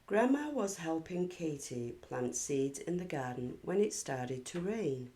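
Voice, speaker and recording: A woman's voice: low-pitched, sing-song, measured pace, mellow. A woman hosting and narrating a children's storybook read-aloud.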